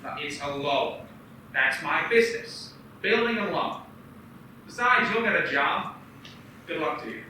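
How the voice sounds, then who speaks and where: A man playing a bright-voiced small-town banker. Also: on the high side, lively intonation, a little slow, long pauses, slight background noise, full character voice. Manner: teasing and friendly, then frantic. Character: earnest young man, kind banker, panics easily. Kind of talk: amateur radio play acting. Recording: echoey room from a distance.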